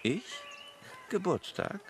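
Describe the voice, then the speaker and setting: A crusty older gentleman in a vintage radio comedy. His delivery is slow and gravelly, droll and lamenting, with very wide swings of pitch. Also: sing-song, over music, heavily acted. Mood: droll, grumbling. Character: crusty older English country gentleman, droll and gin-loving. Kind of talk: old German radio play acting.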